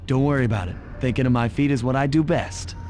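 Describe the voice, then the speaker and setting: A man's voice: medium pitch, fast, darkish tone, natural voice. Man as a cool, self-assured raccoon thief. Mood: cool, confident. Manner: laid-back, self-assured. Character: suave young male raccoon thief, cool and confident. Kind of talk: video game cartoon voice acting. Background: music.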